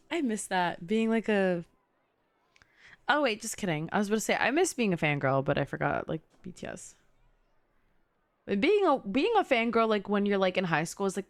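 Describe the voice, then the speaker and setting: A young woman livestreams a game in a bubbly, slangy way, her pitch jumping around freely. She rambles into casual asides for her chat. The mic is close and dry, with game music underneath. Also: dry recording. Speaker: streamer playing a game live.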